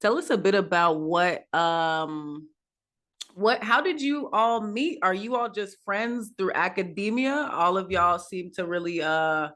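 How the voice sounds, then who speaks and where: A woman's voice: fairly low pitch, expressive, measured pace, a bit bright. A woman hosting a panel over a video call, upbeat and starting her sentences with "now". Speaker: host.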